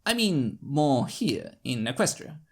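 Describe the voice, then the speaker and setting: Guy doing a polite flustered pony shopkeeper, dodgy answers, voice jumps around, bit creaky, cartoon fanfic. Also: mid-range voice, sing-song, measured pace, a little mellow, heavily acted. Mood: evasive. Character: polite, evasive male pony clockmaker, an eccentric newcomer.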